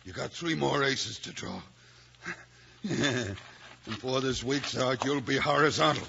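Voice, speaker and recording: Gravelly, snarling Western outlaw. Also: male voice, medium pitch, measured pace, bright, forceful tone. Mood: menacing. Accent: American. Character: defiant, snarling male outlaw gunman in the Old West. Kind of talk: old-time radio western acting. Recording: noisy, dull old radio recording.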